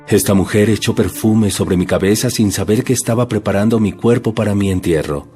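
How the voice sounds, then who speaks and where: A man voicing a calm, solemn adult male teacher in an audio Bible drama, speaking slowly and gravely. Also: on the low side, soft background music, close to an everyday voice. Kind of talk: dramatized scripture reading.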